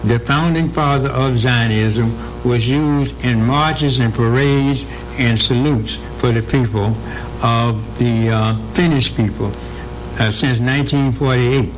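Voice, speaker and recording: Halting man with many fillers. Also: medium pitch, slight background noise, low-quality recording. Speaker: guest. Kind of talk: radio interview.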